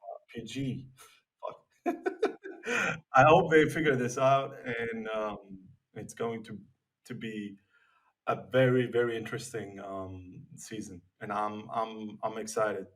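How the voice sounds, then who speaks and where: A man's voice: on the low side, steady tone, slow pace, distant mic, low-quality recording. A man co-hosting a casual sports podcast, speaking bluntly with many hesitations and restarts. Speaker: podcast co-host.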